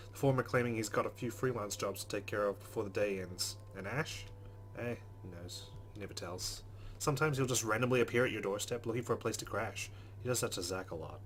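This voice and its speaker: A man's voice: on the low side, a little hiss or hum. A man hosting a let's play, reading game narration aloud and adding casual commentary.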